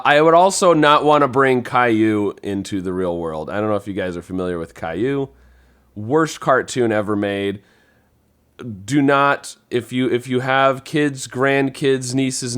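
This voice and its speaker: A man talks casually as part of a podcast panel. His voice sits at a middle pitch, and he speaks in an informal, conversational way with the others. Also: a little slow, fairly bright tone, clear, resonant tone.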